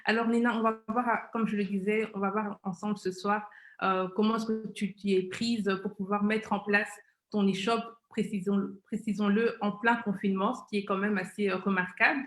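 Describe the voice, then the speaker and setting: A woman hosting a live interview show, speaking in halting, repetitive phrases. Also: medium pitch, steady tone. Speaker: webmagazine founder and show host. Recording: roomy, echoing live-stream sound.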